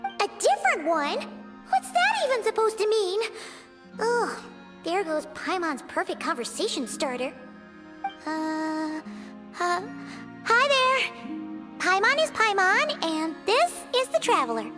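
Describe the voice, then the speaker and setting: A woman's voice: extremely high pitch, sing-song, takes it slowly, pauses often, full character voice. A woman voicing a tiny, childlike, chatty and easily offended floating companion in a video game, speaking in exclamations. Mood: indignant. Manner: refers to herself in third person, exclamatory. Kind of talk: video game character acting. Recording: music behind the voice. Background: game music.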